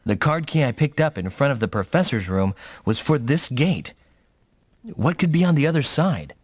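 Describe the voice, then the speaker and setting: An actor playing a young, headstrong rookie mech pilot in an anime-style video game, speaking dramatically and often thinking aloud. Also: male voice, medium pitch, lively intonation, moderate pace, no room sound, close to an everyday voice. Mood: puzzled, wary.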